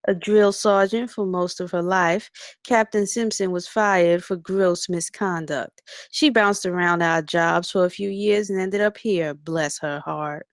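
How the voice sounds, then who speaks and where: Breezy lowish-voiced woman acting a chatty officer. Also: fairly even intonation, measured pace, dry recording, clean studio sound. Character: chatty female campus security officer giving introductions. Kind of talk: screenplay table read.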